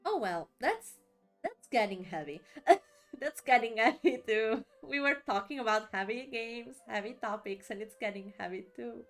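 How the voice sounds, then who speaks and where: Giggly, higher-voiced woman streamer. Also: expressive, normal speed. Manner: giggly, rambling, repeats herself. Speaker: livestreamer. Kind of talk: casual gameplay commentary. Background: game music and dialogue.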